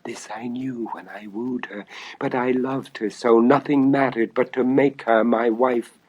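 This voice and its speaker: Man acting out an anguished storybook king, slow and impassioned. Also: medium pitch, fairly even intonation, lo-fi sound, voice pushed up, lightly acted. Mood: hushed confession. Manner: impassioned, halting, confessional. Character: anguished, lovesick, secretive king. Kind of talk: storybook audio dramatization.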